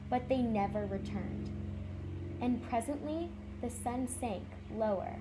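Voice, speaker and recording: A woman's voice: on the high side, unhurried. A woman volunteer narrating a children's story read aloud. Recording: clear background noise.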